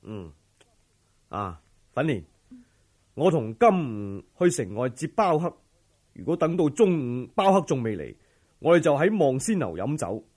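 A man's voice: mid-range voice, big pitch swings, measured pace, pauses often, a little mellow. A man playing an arrogant, violent imperial brother-in-law in a Cantonese audio drama, blustering and scornful. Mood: grudging, commanding. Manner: blustering, scornful, with disdainful snorts.

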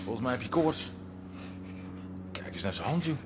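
An actor playing a callous, taunting gangster guard in a radio drama. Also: male voice, medium pitch, sing-song, moderate pace, background noise, natural voice. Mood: taunting, cold. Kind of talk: Dutch radio drama acting.

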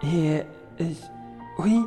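A man playing a smitten, courteous gentleman suitor who is easily flustered, in a French audio drama with formal period speech. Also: on the high side, drawn-out words. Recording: long reverb, clear music behind.

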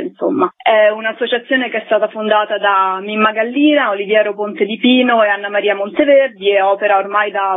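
A woman answers as an interview guest in an informative, orderly way. Her voice sits at a medium pitch. The audio is thin and narrow, much like a phone connection. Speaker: interviewed guest, cultural association representative. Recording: thin phone-like band-limited sound.

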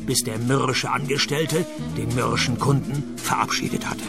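An actor playing a laconic, world-weary private detective with dry, deadpan delivery in a hard-boiled radio play. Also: male voice, mid-range voice, moderate pace, vocal fry, over loud music, close to an everyday voice. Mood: wry, weary.

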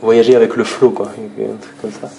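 A man's voice: fairly low pitch, level tone, normal speed, vocal fry, mellow, dull audio, a little hiss or hum. A man talking casually and reflectively, hesitant as he searches for words.

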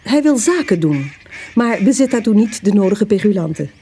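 A woman narrating a radio play in a measured, formal storytelling manner. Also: medium pitch, very animated, warm tone, breathy edge.

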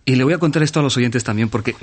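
A male radio host speaks in a medium-pitched voice. He interviews conversationally, in an effusive and personal manner, addressing both his guest and the listeners. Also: very fast.

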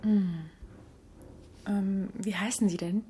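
A woman's voice: medium pitch, steady tone, takes it slowly, mellow, slight background noise, lightly acted. A young woman playing a hesitant but dogged office intern in a radio drama, tentative and earnest. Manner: tentative, earnest, filler-laden. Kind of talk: radio drama dialogue and narration.

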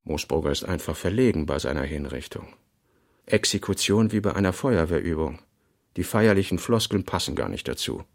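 Man reading literary notes, creaky voice. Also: deep voice, animated, brisk. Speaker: reader of a writer's working notes. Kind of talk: literary radio play reading, scripted.

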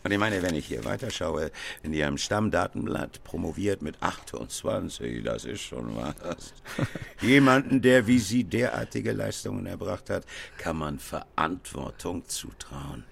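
An actor playing an older, pompous company boss in a radio play, praising in halting, repetitive business phrases. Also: male voice, sits low, sing-song, gravelly, a little hiss or hum, full character voice. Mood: effusive, pompous.

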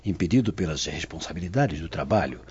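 Low-voiced, expressive scheming Roman gentleman. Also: brisk, breathy edge, heavily acted. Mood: formal, insinuating. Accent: Brazilian. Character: smooth-talking scheming Roman gentleman, formal, covetous. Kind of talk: radio drama acting. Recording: dull, muffled studio track.

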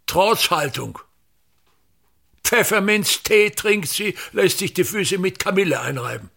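An actor playing a pompous, tyrannical older theatre manager in a radio drama, declaiming scornfully in a gravelly, husky voice. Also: male voice, on the high side, pushed and bright, exaggerated voice. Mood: aggrieved. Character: pompous, tyrannical ageing male theatre actor-manager berating his son.

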